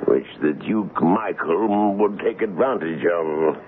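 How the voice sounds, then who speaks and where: A worried loyal colonel, old radio play, formal and urgent, really varied pitch, thin hissy sound. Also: male voice, expressive, slow. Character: anxious, dutiful colonel, aide to a king. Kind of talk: 1970s radio drama acting. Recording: noisy narrow-band vintage radio broadcast.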